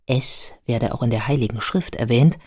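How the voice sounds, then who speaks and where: A man playing the matter-of-fact biographer who narrates a life story in a radio drama, factual and measured. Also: mid-range voice, a little mellow, lightly acted.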